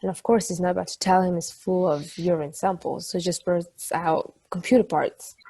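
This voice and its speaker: Actress doing a chatty girlfriend type in a play reading, mellow kinda raspy voice, rambly storytelling. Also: lowish voice, fairly even intonation, moderate pace. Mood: amused storytelling. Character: chatty woman telling a funny anecdote to her partner. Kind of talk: acted casual conversation in a table read.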